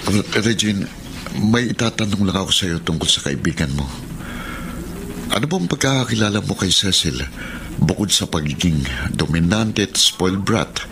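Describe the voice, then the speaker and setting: An actor playing an earnest, worried young boyfriend in a radio drama, hesitant and sincere. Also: male voice, deep voice, expressive, normal speed, vocal fry. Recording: very noisy radio drama recording.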